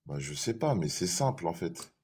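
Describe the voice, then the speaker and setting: A man's voice: deep voice, big pitch swings, normal speed, creaky, a little mellow, breathy edge. A man in a live online debate, defending his view hesitantly, repeating himself and reading verses aloud.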